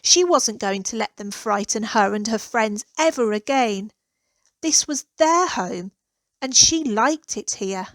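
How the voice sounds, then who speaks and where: Female children's storybook narrator, higher register, expressive and deliberate delivery, dry close sound. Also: no room sound. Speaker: author reading own children's story.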